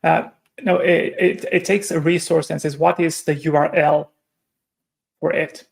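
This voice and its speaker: A man's voice: fairly high pitch, steady tone, measured pace, gravelly. A man from Romania, giving a technical conference presentation and explaining step by step with frequent hesitations and self-corrections. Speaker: conference speaker.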